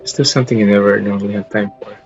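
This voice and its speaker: A man's voice: lowish voice, steady tone, very fast, creaky. A man streaming a game, casually reading dialogue and commenting as he plays. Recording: dull lo-fi mic, game music behind.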